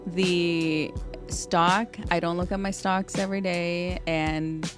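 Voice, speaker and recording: A woman's voice: fairly low pitch, flat delivery, slow, music in the background. A woman born in Mexico, with Spanish as her first language, speaking as a podcast guest in a thoughtful, casual way with fillers and restarts. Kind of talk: casual interview talk.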